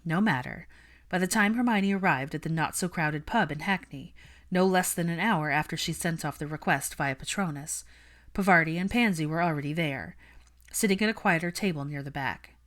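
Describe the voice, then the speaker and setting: A female audiobook narrator with a low-pitched voice. She reads fiction prose in a scripted storytelling style. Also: normal speed, a bit bright.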